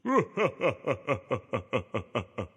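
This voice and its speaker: Grand husky genie, very slow. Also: male voice, medium pitch, wildly swooping pitch, fairly bright tone, full character voice. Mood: jolly, obliging. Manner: grand, theatrical, drawn-out. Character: booming, jolly male genie of the lamp. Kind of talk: children's animated fairy tale acting. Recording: long hall reverb, music behind.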